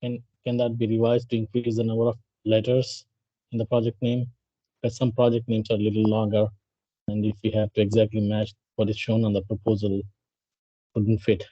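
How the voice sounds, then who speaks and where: Male staff member, mellow and polite, halting repetitive phrasing, dull lo-fi meeting audio. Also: fairly low pitch, monotone, no echo. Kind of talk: informal work discussion.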